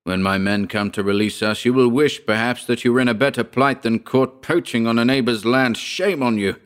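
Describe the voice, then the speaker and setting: A man's voice: on the low side, moderate pace, fairly bright tone, natural voice. Man acting a proud, fierce landowner. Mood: indignant. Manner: haughty, fierce retorts. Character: proud, fierce aristocratic landowner, indignant at his trapped enemy.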